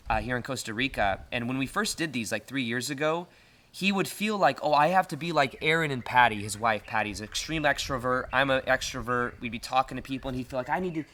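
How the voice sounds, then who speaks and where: A man with an American accent and a naturally loud voice, talking earnestly in a casual motivational monologue to the camera. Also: mid-range voice. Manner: earnest, fillers and restarts. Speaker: self-help video host. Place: retreat in Costa Rica.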